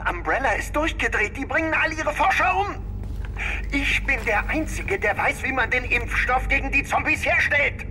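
A man's voice: very high voice, lively intonation, normal speed, bright, forceful tone, soft background music, heavily acted. An actor playing a desperate, demanding, foul-mouthed male scientist speaking over a video call in an audio drama. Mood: angry, demanding.

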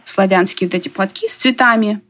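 A woman's voice: mid-range voice, fairly quick, a bit bright. Woman, from Russia, casual conversation, chatty, digressive, laughs often.

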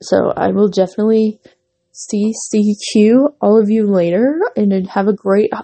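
This is a young woman hosting her own casual podcast, drifting from thought to thought with lots of fillers. Her voice is husky and dark, with a gravelly edge, and she sounds stuffy and worn, as though she's losing it. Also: medium pitch, steady tone, moderate pace. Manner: rambling, lots of fillers and restarts. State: losing her voice, runny nose. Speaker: podcast host. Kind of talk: casual solo podcast chat.

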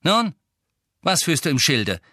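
A man's voice: on the low side, expressive, moderate pace, fairly bright tone, dry recording, studio quality. Man acting a curt, scornful master of the house. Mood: curt, angry. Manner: curt, commanding.

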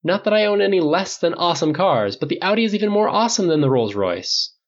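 Guy doing a pushy rich dude, higher voice, rants fast, kinda muffled audio. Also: big pitch swings. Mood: boastful. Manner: rambling, defensive. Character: fast-talking brash rich man, impatient.

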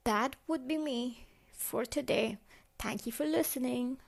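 A woman's voice: sits high, measured pace, vocal fry. A woman telling an embarrassed comic story, hesitant and full of fillers and restarts. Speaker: guest storyteller. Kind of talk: confessional comic monologue.

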